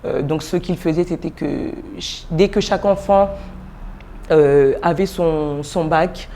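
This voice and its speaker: A woman in her forties from Congo, a pastor being interviewed, telling her story softly with many pauses, fillers and restarts. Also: fairly even intonation, a little hiss or hum. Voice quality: low, soft voice with frequent fillers and restarts. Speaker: pastor, guest.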